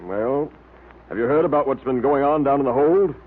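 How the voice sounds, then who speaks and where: An actor playing a worried, courteous ship's captain in a radio drama, formal and grumbling. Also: male voice, medium pitch, moderate pace, husky, narrow, tinny audio, natural voice. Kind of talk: 1930s-40s radio drama acting. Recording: thin, noisy vintage radio recording.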